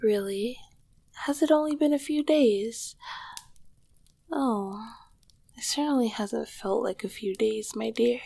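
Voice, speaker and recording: Woman playing a breathy, whispering, regal succubus queen. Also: a little high, slow, long pauses, warm tone, dry recording, heavily acted. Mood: tender, wistful. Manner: regal, old-fashioned, affectionate phrasing. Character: ancient succubus queen of Hell, tender, regal, flirtatious lover. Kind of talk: whispered ASMR roleplay.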